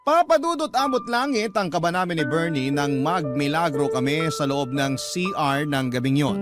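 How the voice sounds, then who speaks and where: A man's voice: on the high side, natural voice. A man performing first-person radio drama narration as a heartbroken letter writer confessing a secret affair. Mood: confessional. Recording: loud music bed behind voice. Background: loud instrumental music.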